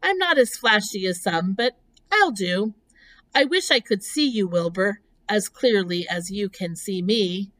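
A woman's voice: mid-range voice, lively intonation, unhurried, fairly bright tone. A woman voicing a gracious, self-assured female spider in a storybook, with genteel, precise, composed speech. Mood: composed, friendly.

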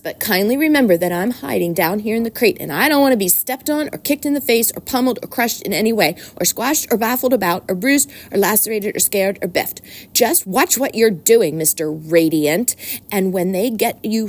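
A woman's voice: lowish voice, measured pace, a little mellow. A woman voicing a grumpy, self-important male barn rat who complains in a fussy, wordy way. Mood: indignant.

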